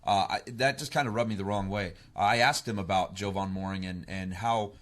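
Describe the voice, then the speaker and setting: Blunt, low-voiced American sports reporter, male. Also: fairly quick, fairly bright tone. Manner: blunt, critical, insistent. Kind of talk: opinionated sports discussion.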